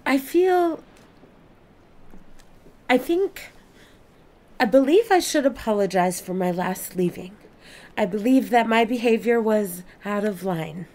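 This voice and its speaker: A woman's voice: mid-range voice, lively intonation, slow, pauses often, darkish tone. A woman playing an earnest, curious, newly adult alien in an audio play, speaking in formal, precise, stilted sentences. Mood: earnest, apologetic.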